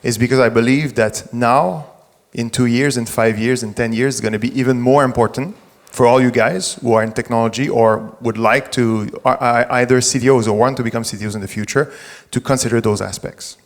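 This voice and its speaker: A man's voice: mid-range voice, fairly even intonation, brisk. French Canadian man speaking in a reverberant hall. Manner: conversational, with frequent restarts and self-corrections. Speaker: tech executive giving a conference talk. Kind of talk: conference talk to an audience. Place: event venue before a live audience. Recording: long reverb of a venue hall.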